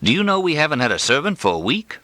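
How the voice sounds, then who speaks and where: A big, folksy older doctor in an audiobook, played by a male reader. He talks in a familiar, teasing drawl, pitched up and swinging widely, with a bright edge. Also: big pitch swings, quick pace, no echo. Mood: serious, scheming. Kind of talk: audiobook character dialogue.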